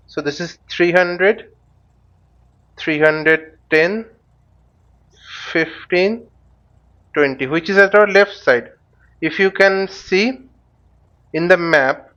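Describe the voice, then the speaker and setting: High-pitched man, halting slow tutorial delivery. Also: fairly bright tone, lo-fi sound. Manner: halting, thinking aloud, step-by-step explaining; poses questions and answers them himself. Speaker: flight simulator instructor. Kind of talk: unscripted tutorial with live demonstration. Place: voice-over recorded while using a flight simulator.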